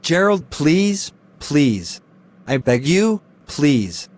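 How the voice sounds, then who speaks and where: A man's voice: mid-range voice, animated, moderate pace, close to an everyday voice. A young man, acting a desperate student who keeps begging his friend for help. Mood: pleading. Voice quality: begging, insistent pleading. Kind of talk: amateur drama acting.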